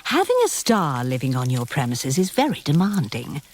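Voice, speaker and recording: A woman's voice: extremely low pitch, sing-song, normal speed, slight background noise. A middle-aged woman playing a long-suffering, practical farm widow in a radio comedy-drama, wry, patient and down-to-earth. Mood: wry, reflective. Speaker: actor in a radio play. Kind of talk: radio comedy-drama acting, confiding narration.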